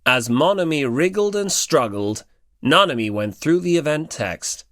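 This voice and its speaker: A man's voice: a little high, animated, a little slow, fairly bright tone, no echo, clean studio sound. A man reading scripted story narration as an audiobook narrator.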